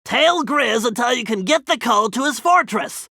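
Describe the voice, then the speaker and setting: Geeky little turtle genius from a video game, high n creaky, earnest and urgent, explains tech stuff precisely. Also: male voice, lively intonation, moderate pace, bright, forceful tone, no room sound, studio quality, exaggerated voice. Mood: earnest, instructive. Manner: precise, technical, urgent briefing delivery. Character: nerdy, earnest male turtle tech genius guiding a thief gang. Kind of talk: video game character mission dialogue.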